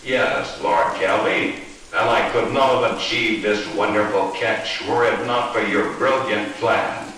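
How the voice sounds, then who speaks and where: An actor playing a cunning, courteous Devil in a horror radio play, with a formal, deliberate manner. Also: male voice, on the low side, pushed and bright. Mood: gloating. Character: the Devil, stately, cunning, courteous male master of hell. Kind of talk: old-time horror radio play acting. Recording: roomy echo, distant, faint hiss.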